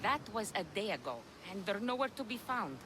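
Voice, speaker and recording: Brash seafaring rogue woman, acted by a woman for an ancient-world game; lively swings in pitch, blunt swagger, clear background noise. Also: fairly quick, lightly acted. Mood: brash, mocking. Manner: blunt, brash, salty swearing. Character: gruff, foul-mouthed, swaggering female pirate captain of ancient Greece. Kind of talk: video game dialogue acting.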